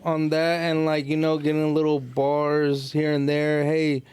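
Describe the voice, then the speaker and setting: Dude, voice a bit high, slangy podcast banter, cusses. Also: male voice, monotone, a little slow, a little mellow, clear, resonant tone. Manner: slangy, swearing. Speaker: podcast panelist. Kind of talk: casual banter. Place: small room with couches. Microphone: podcast microphone.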